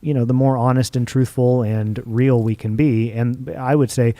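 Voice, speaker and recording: A man's voice: mid-range voice, fairly even intonation, normal speed, warm tone, dry recording. A man hosting an onstage interview, asking probing questions and often restarting his words. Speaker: interview host. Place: theater stage.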